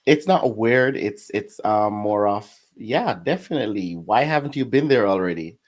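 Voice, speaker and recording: Jamaican-accented man, very low voice, easygoing interview guest. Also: lively intonation, normal speed. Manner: easygoing, laughs between answers. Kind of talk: casual interview chat.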